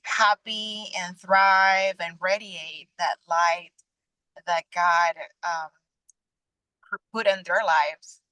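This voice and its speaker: A young adult woman from Venezuela, a guest sharing her personal faith testimony in an earnest, halting way with frequent restarts. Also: on the low side, steady tone, bright, forceful tone, smooth, no room sound, poor audio.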